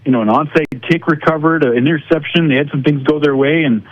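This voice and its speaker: A man's voice: on the high side, fairly even intonation, fast, no room sound. American male sports analyst, dry muffled audio. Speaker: high school sports broadcaster, guest analyst.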